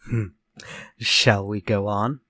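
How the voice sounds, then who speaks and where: An actor playing a sinister, vengeful ex-convict murderer in retro radio drama style, speaking in a slow, theatrical, menacing way. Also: male voice, fairly low pitch, fairly even intonation, sharp tone, no room sound, clean studio sound, full character voice. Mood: calm, sly.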